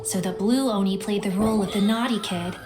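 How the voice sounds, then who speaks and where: An actress playing a gentle storyteller, narrating a folktale in a video game in a measured way. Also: female voice, medium pitch, natural voice. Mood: gentle, wistful. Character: gentle female storyteller telling an old folktale. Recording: music under the narration.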